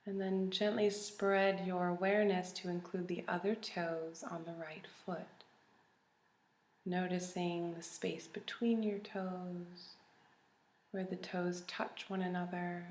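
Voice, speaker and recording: A woman leading a guided body scan meditation in a calm, gentle, soothing manner with long pauses. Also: on the low side. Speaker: meditation teacher. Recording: roomy, echoey recording.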